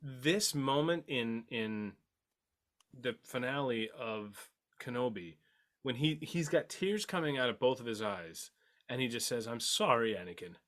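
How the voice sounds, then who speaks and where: A man talking excitedly in a fan discussion, repeating words for emphasis as a podcast panelist. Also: medium pitch, measured pace.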